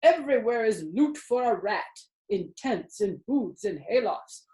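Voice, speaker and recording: A woman's voice: fairly high pitch, expressive, measured pace, warm tone, roomy sound, pitched above the performer's own voice, low-quality recording. A woman voicing a chatty, worldly old female sheep in a storybook reading. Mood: enticing.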